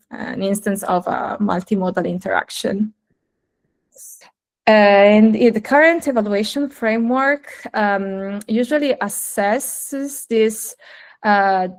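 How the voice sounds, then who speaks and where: A young woman, a native Italian speaker, giving a technical talk over a video call, hesitant with many fillers and restarts. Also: mid-range voice. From Italy. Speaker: guest speaker.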